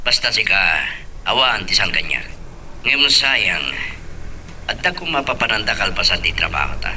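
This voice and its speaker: An actor playing an obliging boss in an Ilocano radio drama, with an Ilocano accent. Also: male voice, fairly low pitch, sing-song, moderate pace, sharp tone, a little hiss or hum, exaggerated voice. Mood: friendly, reassuring. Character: obliging male boss on a phone call.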